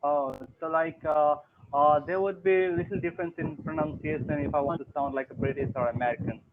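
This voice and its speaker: A man's voice: a little high, fairly even intonation, brisk, a little hiss or hum. A young man who is learning English, talking hesitantly with many fillers on an online tutoring call. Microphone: video call. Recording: tinny phone-like call audio.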